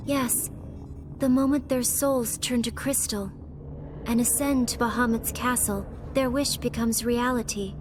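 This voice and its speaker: A woman acts a solemn young rebel woman with a fairly high voice. She is measured and composed, in video game style, with music behind her. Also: natural voice. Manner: measured, explanatory. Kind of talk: animated video game voice acting.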